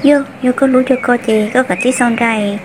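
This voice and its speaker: A woman voicing a female character in an amateur animated cartoon drama. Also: on the high side, a little fast, background noise, natural voice. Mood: conversational. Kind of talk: hobby animated cartoon dialogue.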